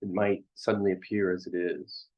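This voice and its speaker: A man leading a guided meditation as a teacher, contemplative, speaking in short halting phrases with long pauses, on a dull, muffled recording. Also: sits low, flat delivery.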